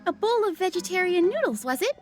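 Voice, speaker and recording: A polite young waitress. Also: female voice, very high-pitched, very animated, fairly quick, soft background music. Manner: courteous, describing dishes like a menu.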